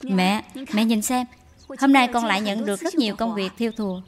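A woman playing a gentle, dutiful young daughter-in-law in a cartoon dub, patient, sorrowful and respectful. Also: on the high side, a little fast, natural voice. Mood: gentle, caring. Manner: meek, pleading, respectful. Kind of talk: dubbed cartoon drama acting.

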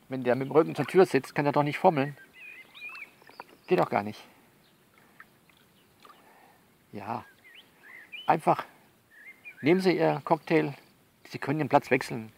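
A man playing a soothing but bossy confidant who coaches someone by phone in an audio drama, halting and repeating himself as he instructs. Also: fairly high pitch, creaky, a little mellow, a little hiss or hum. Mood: insistent, instructive. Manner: halting, repetitive, instructive, reassuring. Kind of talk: one-sided phone conversation in an audio novel.

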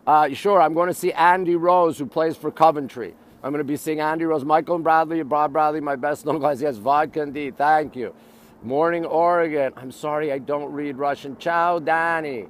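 A man's voice: a little high, moderate pace. A middle-aged man from Montreal with a North American accent, chatting casually as a live-stream host in short, repetitive, exclamatory bursts. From Montreal, Canada. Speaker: live-stream host showing city sights. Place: outdoors on an urban riverside.

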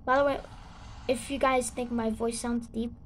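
A young girl with a sore throat, rambling casually through gameplay commentary with halting restarts and sudden excited outbursts. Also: fairly high pitch, slight background noise. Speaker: gaming channel host.

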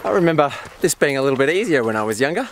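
A man's voice: a little high, very animated, very fast. A man in his forties with an Australian accent, chatting casually to the camera in his car and on a hike with his dogs. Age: 40s. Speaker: vlogger. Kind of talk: casual vlog talk to camera. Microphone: camera held at arm's length or mounted on the dash. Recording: outdoor camera audio with clear background noise.

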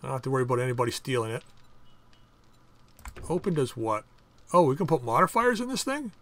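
A man hosting a casual game playthrough, chatting and thinking aloud as he crafts items, with his voice going a bit hoarse. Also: medium pitch, lively intonation, fast, a bit bright. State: losing his voice. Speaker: gaming channel host. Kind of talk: casual let's-play commentary.

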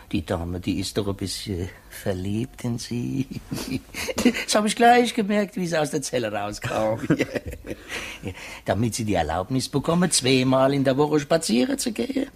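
A good-natured male prison warder in a radio play. His husky voice swings widely in pitch. He rambles and hesitates as if confiding something. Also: very animated, full character voice. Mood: amused. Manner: halting, folksy, rambling, confiding. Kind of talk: radio drama acting.

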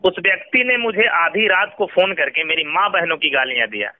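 A man's voice: high-pitched, normal speed. A man with a Bihari accent arguing his case respectfully in a recorded phone conversation. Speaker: phone interviewee. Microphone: telephone. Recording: tinny, band-limited phone call.

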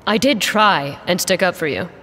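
A woman's voice: lowish voice, lively intonation, normal speed, a bit bright, in a large hall. A woman playing a guarded, blunt, loyal mercenary pilot in an audio drama, with a dry manner. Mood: wry, questioning.

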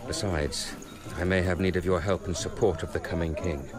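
A man's voice: low-pitched, steady tone, normal speed, a crowd in the background, lightly acted. An actor playing a proud, vengeful young male hero in a radio drama. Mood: grave, proud.

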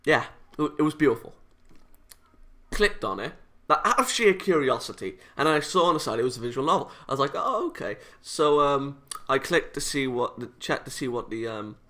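A man's voice: mid-range voice, animated, moderate pace, pushed and bright. A British man hosting a gaming video, chatting casually and reading visual novel text aloud with playful, self-interrupting asides. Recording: music playing behind the voice.

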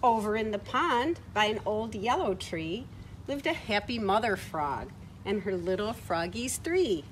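A middle-aged woman reading a picture book aloud to children in an expressive way, recorded outdoors. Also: mid-range voice, unhurried. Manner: expressive, acting out short quoted words. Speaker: children's story time reader. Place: outdoors on a farm, beside horses. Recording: outdoor ambient noise from camera mic.

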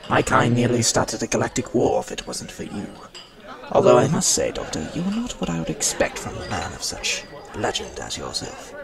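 A man voicing a proud, touchy alien mesomorph dignitary who is quick to take offence, with party chatter behind him. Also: medium pitch, wildly swooping pitch, creaky. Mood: gracious. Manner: haughty, easily offended.

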